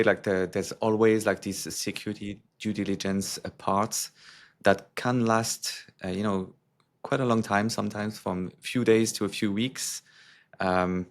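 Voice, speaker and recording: A man who works as a security executive, answering interview questions in a halting, filler-heavy way. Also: fairly low pitch, creaky.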